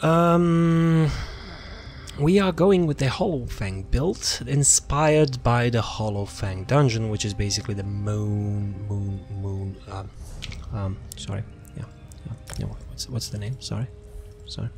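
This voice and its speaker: A man's voice: fairly low pitch, slow pace, a little mellow, faint music behind. A man hosting a gaming video, talking casually through a character build in a rambling, self-interrupting way and often trailing off. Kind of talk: casual game build walkthrough commentary.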